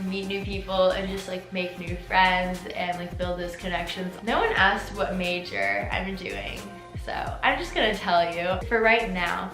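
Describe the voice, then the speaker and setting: A chatty eighteen-year-old woman talks through viewer questions in a loose, filler-heavy way, her throat a little sore. Her voice carries a noticeable echo off the room, and upbeat music plays clearly underneath. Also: on the low side. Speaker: vlogger. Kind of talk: casual Q&A vlog. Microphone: camera mic.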